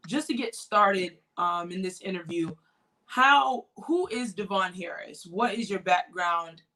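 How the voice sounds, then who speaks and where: Bright-voiced young woman host. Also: mid-range voice, unhurried, rough recording. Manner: eager, friendly questions with restarts. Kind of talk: interview hosting.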